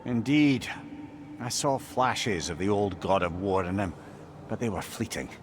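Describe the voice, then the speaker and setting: An actor playing a wry, spirited old sage in a video game, animated and old-fashioned in his diction. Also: fairly low pitch, a little slow, noisy background, close to an everyday voice. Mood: reflective. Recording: game music behind the voice.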